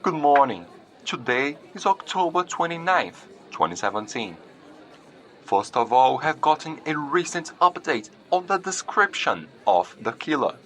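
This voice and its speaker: A man's voice: a little high, big pitch swings, a little slow, a bit bright, low-quality recording. A man playing a formal morning news anchor reading a bulletin in an audio drama. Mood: serious.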